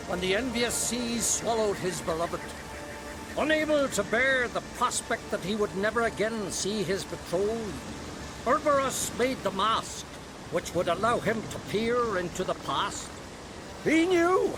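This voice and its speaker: An actor playing an indignant, grandiose druid in a game, declaiming solemn lore pompously. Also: male voice, high-pitched, heavily acted, low-quality recording. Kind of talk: video game cutscene acting. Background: music.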